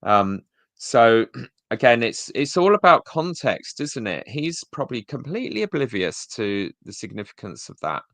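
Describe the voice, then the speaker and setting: A man's voice: mid-range voice, very animated, normal speed, fairly bright tone, no echo. A man co-hosting a video-call show, giving blunt, sardonic commentary on church talks. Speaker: co-host reviewing church talks. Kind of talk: casual critical commentary.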